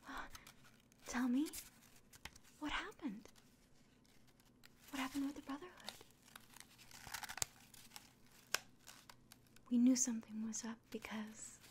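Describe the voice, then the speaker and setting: A woman playing a kind, anxious village innkeeper in a fantasy ASMR roleplay, speaking gently and directly to the listener in a breathy, mostly whispered voice. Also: a little high, steady tone, measured pace, pauses often, noisy background, close to an everyday voice. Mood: curious, relieved.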